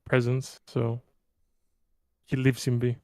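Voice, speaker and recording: A man playing a tabletop roleplaying game, casually improvising in a halting way and stumbling over words. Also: medium pitch, monotone, dark tone, no room sound. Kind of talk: casual improvised character description. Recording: dry close voice with background music.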